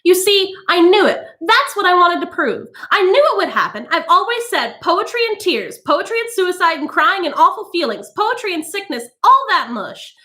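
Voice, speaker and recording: Female reader as a high, shrill prim housewife who whines in outrage; audiobook figure. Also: very animated, normal speed, hardly any pauses, bright, forceful tone, full character voice. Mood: indignant. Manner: whiny, scandalized. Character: shrill prim middle-aged woman who hates poetry.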